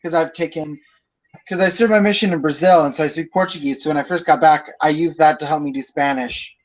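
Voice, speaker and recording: A man's voice: fairly high pitch, fairly quick. Chatty young man on a muffled video call. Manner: chatty, long rambling explanations with many fillers. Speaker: student in a group project. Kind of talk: casual chat on a video call.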